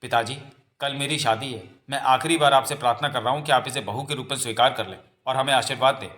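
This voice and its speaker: A man's voice: medium pitch, fast talker, a bit bright, lightly acted. A man voicing an earnest, lovestruck young landlord's son who pleads respectfully in a dramatized comic-book story. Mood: pleading.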